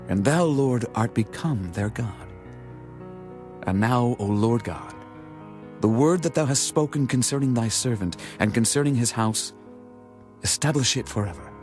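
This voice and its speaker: An actor playing a humble, reverent king of ancient Israel in a dramatized scripture reading, speaking in earnest, measured, formal phrases. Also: medium pitch, darkish tone, natural voice. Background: music.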